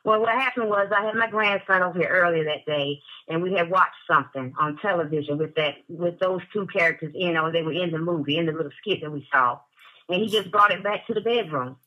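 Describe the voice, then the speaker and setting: A woman's voice: fairly low pitch, fairly quick, highs cut off. A woman in her forties calling in, talking candidly and laughing, with dramatic exclamations. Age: 40s. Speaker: caller. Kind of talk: casual chat.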